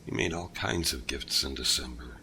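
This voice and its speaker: An actor playing a kind, lonely older father who lost his son, speaking gently with halting pauses. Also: very deep voice, gravelly, a little hiss or hum, exaggerated voice. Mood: wistful. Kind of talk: audio drama acting.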